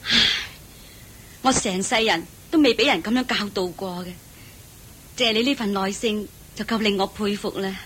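A woman's voice: mid-range voice, normal speed, fairly bright tone, a little hiss or hum, natural voice, low-quality recording. A woman playing a sulky, stubborn housekeeper. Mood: humbled. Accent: Hong Kong Cantonese. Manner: petulant, sulky and defiant. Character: stubborn grumbling female housekeeper servant. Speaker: voice actor. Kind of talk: Cantonese radio drama acting.

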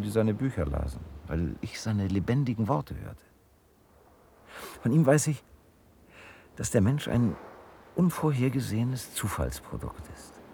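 A detached, philosophical wanderer in a radio drama. His deep, gravelly voice is measured and reserved, over faint background noise. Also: darkish tone. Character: Heist, reflective, world-weary detached male wanderer. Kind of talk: German radio drama acting.

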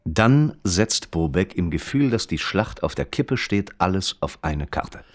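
A male radio play narrator with a very low voice. He is detached and observant, measured and precise, yet his intonation moves widely through the scripted prose. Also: sing-song. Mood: detached, matter-of-fact.

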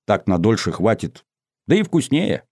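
A man's voice: lowish voice, very animated, moderate pace, a little mellow, breathy edge, dry recording, clean studio sound, full character voice. A man voicing a confident teenage boy in an audiobook, breezy and playfully pompous, with mock-playboy nonchalance. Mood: nonchalant. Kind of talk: audiobook character voice.

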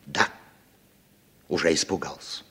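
An actor playing a cynical, hard-drinking, defiant American radio commentator in a Soviet radio drama, with a sardonic, unhurried way of talking. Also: male voice, extremely low pitch, a bit bright, husky, full character voice. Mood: cool, sardonic. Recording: 1960s radio recording, guitar music behind.

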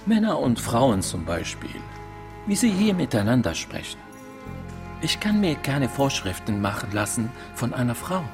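A troubled, devout man muses wryly in a mid-range voice with expressive intonation. It is reflective radio drama dialogue with music underneath. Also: mid-range voice, normal speed. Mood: brooding. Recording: music behind.

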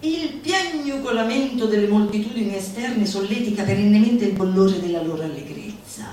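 A woman's voice: mid-range voice, warm tone. Echoey theatrical woman, emphatic and declamatory. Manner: emphatic, drawn-out delivery with spoken asides. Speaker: theatre performer. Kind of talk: theatrical declamation of a comic manifesto. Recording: echoey live recording from far off. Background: music.

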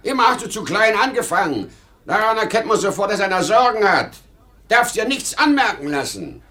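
An aging salesman father, proud and anxious, in a radio play. His high voice swings widely as he lectures with emphasis, blustering and domineering. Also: sing-song, sharp tone, exaggerated voice. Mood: lecturing, insistent. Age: older. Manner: lecturing, emphatic, quick to flare up. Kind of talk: 1950s German radio drama acting.

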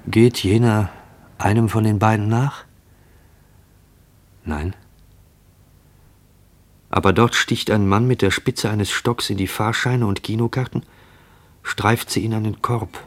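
Fearful shadower for radio drama: deep, rough-edged, tense and searching inner monologue. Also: male voice, steady tone. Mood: watchful. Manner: tense, hushed, questioning, deliberate. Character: fearful man shadowing a mysterious stranger.

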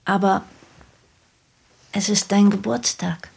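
A woman playing a weary, gravelly young mother. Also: medium pitch, steady tone, takes it slowly, exaggerated voice. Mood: tender. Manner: soft, pleading, often half-whispered. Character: weary battered young mother, tender and fragile. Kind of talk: audiobook dialogue reading.

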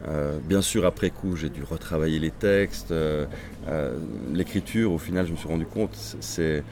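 A man whose first language is Swedish, a crime novelist answering interview questions in a reflective, halting way with many restarts. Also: rumbling low, a little mellow, a little hiss or hum.

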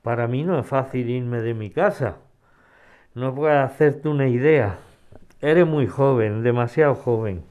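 A man's voice: mid-range voice, a little slow, a little mellow, lightly acted. A man acting an evasive older man in an audio drama, hesitant and defensive as he gets cornered. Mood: defensive, ashamed. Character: evasive older man cornered about leaving his home.

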